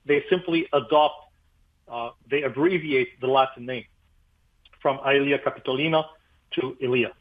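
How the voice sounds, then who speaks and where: A man's voice: mid-range voice, normal speed. A man, a pastor whose first language is Arabic, explaining history emphatically as a guest in a radio interview, with many fillers. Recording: tinny phone line.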